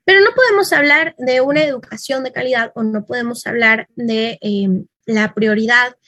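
High-voiced Mexican woman lecturing. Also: moderate pace, pushed and bright, no room sound. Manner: conversational, thinking aloud with fillers. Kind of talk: informal lecture.

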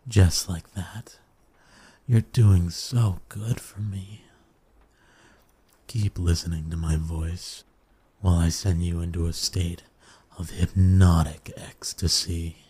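A man plays a seductive male incubus in an intimate ASMR roleplay. His voice is very low and dark, and he takes his time, purring slowly. He is smug and dominant, yet coaxing and soothing. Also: heavily acted. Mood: soothing, hypnotic. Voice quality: low, breathy, husky. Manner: slow, teasing, purring, coaxing with pet names.

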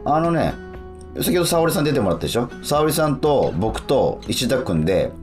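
A man co-hosting a casual radio chat, animated and appreciative, often feeling his way through phrases. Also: mid-range voice, brisk. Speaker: radio show co-host. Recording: music playing under the talk. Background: background music.

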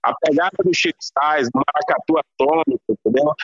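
A man from Rio Grande do Norte with a Northeastern Brazilian potiguar accent, giving long, passionate answers as a guest bass player. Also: fairly quick, fairly bright tone, husky, no room sound, lo-fi sound. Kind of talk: interview answers.

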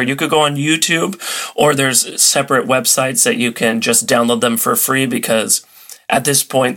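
A man's voice: mid-range voice, sharp tone. A man talking casually as a podcast guest. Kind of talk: casual chat.

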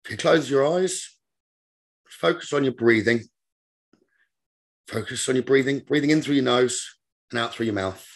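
A man leading a guided meditation as a teacher, giving gentle, repetitive instructions in a slow manner. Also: medium pitch, gravelly.

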